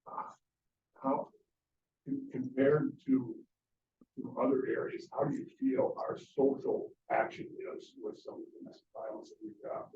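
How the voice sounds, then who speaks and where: Gravelly man asking from across the room. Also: mid-range voice, sing-song, a little slow, slight background noise. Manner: hesitant questioning. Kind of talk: question at a county board meeting. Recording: roomy, distant, muffled meeting recording.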